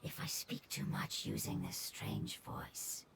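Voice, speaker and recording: A woman playing a gentle ancient fungus-like creature in a video game, speaking formally and earnestly in a breathy, whispery voice. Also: lightly acted. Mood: polite, cautious. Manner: formal, measured, earnest, literal-minded. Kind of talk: video game character dialogue. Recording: game audio with loud background ambience.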